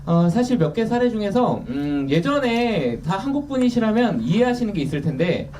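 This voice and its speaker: Lively, mellow-voiced man speaking from afar. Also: high voice, normal speed. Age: 30s. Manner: candid, self-deprecating, lively. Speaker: author guest. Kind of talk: casual interview talk. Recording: distant mic with faint noise.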